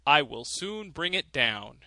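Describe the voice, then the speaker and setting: A man's voice: on the high side, very animated, takes it slowly, pushed and bright, no echo, full character voice. A man voicing a merry, cunning countryman in a fairy tale, with a hearty, bold manner. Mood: cheerful.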